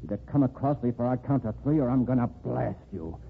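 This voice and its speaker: An actor playing a threatening gangster with a gun in a 1940s radio drama. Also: male voice, medium pitch, quick pace, vocal fry, breathy edge. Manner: threatening, counting down. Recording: tinny old radio transcription.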